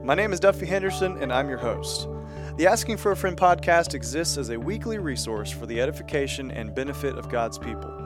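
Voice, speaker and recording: A man hosting a church podcast in an easygoing, folksy way with some light teasing. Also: mid-range voice. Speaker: podcast host.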